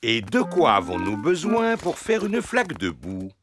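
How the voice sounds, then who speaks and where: A jolly teacherly cartoon pig dad. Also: male voice, a little high, unhurried, over loud music. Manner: teacherly, lively sing-song explaining. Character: jolly grown-up male pig, patient explainer. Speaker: father leading science experiments. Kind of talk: French cartoon dubbing.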